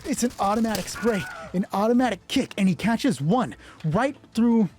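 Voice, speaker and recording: Male solo game master, high but mellow voice, thinking aloud through dice and story, excited and sweary. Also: normal speed. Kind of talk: improvised tabletop game narration with dice talk.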